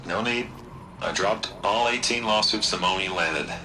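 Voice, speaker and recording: A corporate boss played by an actor in a video game, uneasy and placating. His low voice is hesitant and ingratiating. Clear noise surrounds him. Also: normal speed, lightly acted. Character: uneasy, placating male corporate executive hiding disaster.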